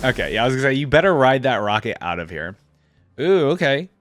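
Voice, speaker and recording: A man's voice: mid-range voice, very animated, fast, a bit bright, no room sound. A man giving casual, off-the-cuff reaction commentary, thinking aloud in a chatty way with informal slang. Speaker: host of a reaction video.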